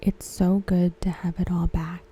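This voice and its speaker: A woman giving ASMR commentary in a hushed, gentle, breathy, whispery voice. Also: on the low side, flat delivery. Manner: hushed, gentle, unhurried delivery. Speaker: ASMR content creator reviewing a TV episode. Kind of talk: ASMR soft-spoken commentary.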